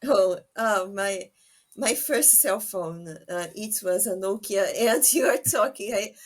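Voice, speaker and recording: A woman hosting a casual interview, speaking in halting phrases with pauses between them. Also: medium pitch. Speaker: podcast interviewer, host.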